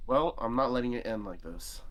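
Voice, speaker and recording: A man voicing an earnest, guilt-ridden young male animal character in a visual novel, in a halting, dramatic delivery. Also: mid-range voice, a bit bright, a little hiss or hum, natural voice. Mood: resolute. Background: guitar game music.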